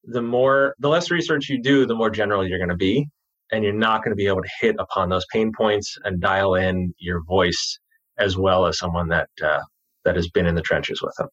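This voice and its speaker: Husky Jersey-accented copywriter. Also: male voice, sits low, moderate pace, highs cut off. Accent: New Jersey. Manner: relaxed, chatty, drops his g's. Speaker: copywriter, guest. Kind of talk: casual interview talk.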